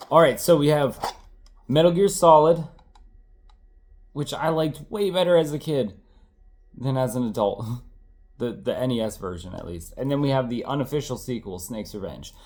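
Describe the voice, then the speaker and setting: A man's voice: mid-range voice, lively intonation, normal speed, long pauses, a little mellow. A man in his thirties, congested and tired, chatting casually and rambling as he shows off his old game collection, correcting himself and swearing now and then.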